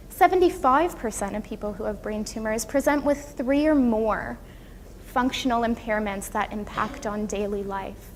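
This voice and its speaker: A woman with a soft, high-pitched voice presents to a conference audience. She is earnest and gracious, guiding listeners carefully from point to point. The recording has long hall reverb and some faint background noise. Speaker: conference presenter, occupational therapist. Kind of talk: prepared conference talk to an audience. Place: conference room, speaking to an audience.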